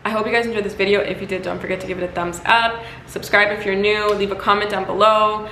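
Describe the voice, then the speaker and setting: A woman chatting casually to camera as an astrology YouTuber, hoarse from losing her voice, with lots of "like" and "you know". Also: medium pitch, little pitch movement, quick pace, pushed and bright, a little hiss or hum. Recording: echoey room, faint ambience.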